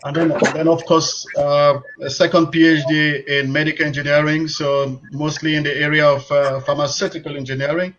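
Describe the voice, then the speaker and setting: A man, a public health professor, introducing himself on a panel in a measured way with frequent hesitations. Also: a little high, level tone, fairly bright tone, dull audio, slight background noise. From Cameroon.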